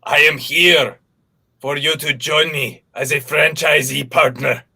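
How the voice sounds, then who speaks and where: Improv performer, man, high bright tone, pompous delivery. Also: fairly even intonation, unhurried, vocal fry, slightly rough. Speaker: improv comedian. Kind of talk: improvised comedy scene.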